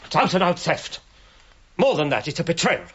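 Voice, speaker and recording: An actor playing an imperious English gentleman archaeologist in a radio drama, clipped, formal and authoritative. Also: a little high, big pitch swings, normal speed, breathy edge, full character voice. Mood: indignant. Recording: dull, faintly noisy radio recording.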